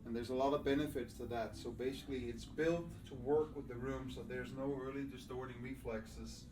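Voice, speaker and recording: A man's voice: medium pitch, fairly even intonation, fairly quick, background noise. A man giving an earnest, conversational product presentation as an audio distributor. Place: small demo room at an audio show. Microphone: binaural microphone at a distance. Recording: echoey room, distant from mic.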